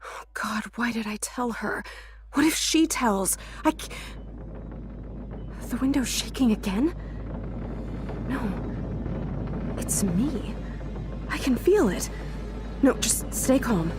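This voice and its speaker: An actress playing a frightened young woman who has just gone blind, speaking her thoughts in whispers. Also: a little high. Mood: panicked. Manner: inner monologue, whispering, panicky self-calming. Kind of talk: audio drama acting.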